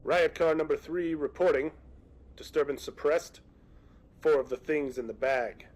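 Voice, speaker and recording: An actor playing a brisk policeman reporting in a radio drama, clipped and official. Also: fairly high pitch, darkish tone, slight background noise, natural voice, low-quality recording. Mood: brisk, matter-of-fact.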